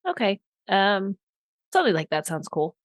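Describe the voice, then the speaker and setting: A woman's voice: mid-range voice, sing-song, moderate pace, no room sound, studio quality. A woman playing in a tabletop game, thinking aloud in a hesitant, casual way. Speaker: player.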